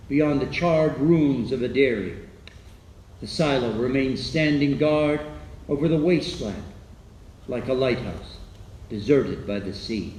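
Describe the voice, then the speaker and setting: An actor playing a weary, frightened survivor of an alien invasion in a halting dramatic radio monologue. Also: male voice, medium pitch, darkish tone, reverberant, slight background noise, natural voice, poor audio. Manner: halting, hesitant delivery with stammered repeats.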